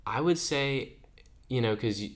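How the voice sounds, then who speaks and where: A man's voice: mid-range voice, lively intonation, unhurried. An eighteen-year-old man co-hosting a podcast, telling stories in a chatty way and laughing as he goes. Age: under 20. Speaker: podcast co-host. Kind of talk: casual chat.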